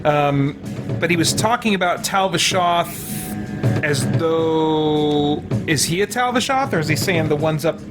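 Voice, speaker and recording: A man's voice: a little high, unhurried. A man doing casual live gameplay commentary as a streamer, thinking aloud in a rambling, hesitant way. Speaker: game streamer and host. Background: game music.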